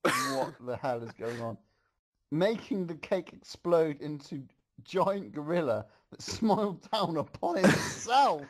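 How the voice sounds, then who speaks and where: A man with a slightly high voice reads a comic story out loud. His delivery is excitable and amused, and he steps out of the text for incredulous asides. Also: slow. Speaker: reader of a fan story on a group show.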